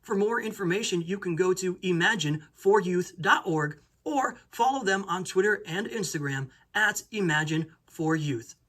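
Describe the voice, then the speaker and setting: A man with a fairly high-pitched voice hosts a talk show. He talks casually, as an interviewer and presenter addressing guest and viewers. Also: animated, moderate pace, few pauses, fairly bright tone.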